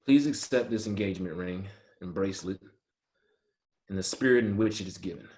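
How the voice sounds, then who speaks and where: Man reading a low-voiced, earnest young soldier. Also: a little fast, a little mellow, natural voice. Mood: tender. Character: earnest, principled young male Army soldier, quietly firm. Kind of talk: screenplay table read, first-person narration and dialogue.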